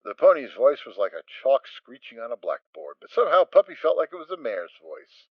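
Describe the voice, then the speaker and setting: A man's voice: medium pitch, very animated, a little fast, creaky, dry recording, dull audio. A man narrating a dramatic story as a scripted reading.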